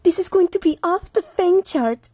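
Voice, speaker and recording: A woman voicing excitable, fashion-loving teenage monster girls from a cartoon in a perky, gushing manner. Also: extremely high pitch, moderate pace, dry recording, voice pushed up. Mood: perky, excited.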